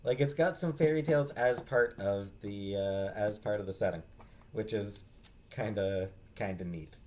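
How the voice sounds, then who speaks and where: Guy with a lowish voice just chatting through a book review, kinda hesitant, restarts his sentences a lot. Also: darkish tone. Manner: halting, hesitant, trailing off and restarting. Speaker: book reviewer, vlog host. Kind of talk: casual unscripted book review chat.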